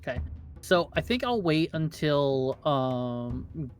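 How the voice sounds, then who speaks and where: A man's voice: a little high, very animated, slow pace, faint music behind. A man playing in a tabletop game, talking casually and hesitantly about his character. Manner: hesitant, with fillers and laughs. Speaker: player.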